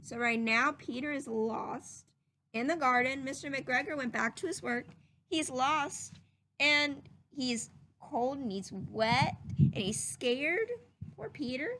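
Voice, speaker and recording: A woman's voice: high voice, slow, pushed and bright, slight background noise, low-quality recording. A woman reading a children's story aloud in a teacherly, explanatory way, as if speaking to young listeners.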